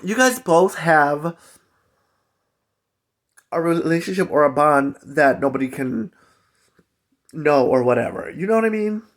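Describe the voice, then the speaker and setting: A man's voice: fairly high pitch, lively intonation, unhurried, long pauses. A man telling a personal story in a casual, chatty, conversational vlog style with emphatic asides. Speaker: storytelling vlogger.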